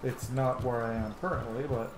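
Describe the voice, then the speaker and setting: A man's voice: mid-range voice, steady tone, normal speed. Man in a roomy space, musing over a game. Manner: musing aloud to himself. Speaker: gamer streaming a roleplay playthrough. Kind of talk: casual game commentary. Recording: roomy echo, game music underneath. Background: video game music.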